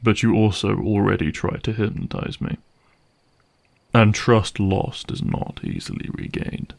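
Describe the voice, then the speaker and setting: Gravelly, low-voiced cynical vampire hunter played by a man. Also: sing-song, unhurried, a little mellow, heavily acted. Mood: guarded, bargaining. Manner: wry, sardonic, menacing. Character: gruff, cynical male vampire hunter for hire. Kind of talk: dramatic audio roleplay monologue addressed to listener.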